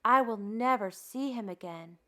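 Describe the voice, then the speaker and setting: Woman voicing an anxious, high-pitched storybook toad. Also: normal speed, bright, forceful tone. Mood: worried, fearful. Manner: dramatic, emphatic worrying and questioning. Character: anxious, devoted male toad, fretful storybook friend.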